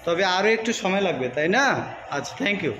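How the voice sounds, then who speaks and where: A woman's voice: lowish voice, animated, fairly quick. A woman with a Bangladeshi accent teaching a class interactively, encouraging pupils and prompting them with short questions. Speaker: school teacher. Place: indoor school classroom. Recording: echoey, noisy classroom recording.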